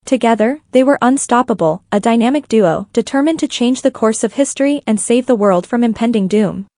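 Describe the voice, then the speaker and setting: Higher-voiced woman narrating a story, dry sound. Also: lively intonation, fairly quick, warm tone, no room sound. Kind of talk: scripted fan fiction narration.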